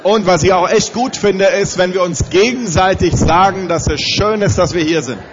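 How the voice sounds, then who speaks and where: A man's voice: high-pitched, moderate pace, highs cut off, a faint crowd. Man with a cold, hosting a conference. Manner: energetic, directs the audience with instructions. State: has a cold, slightly strained voice. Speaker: conference host for youth leaders. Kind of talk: addressing a live audience at a conference. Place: large conference hall with audience.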